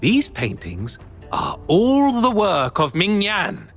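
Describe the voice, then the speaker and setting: A man's voice: high voice, huge pitch swings, slow, gravelly, soft background music, exaggerated voice. A man voicing a smug, scheming male art dealer in a video game, condescending and dismissive.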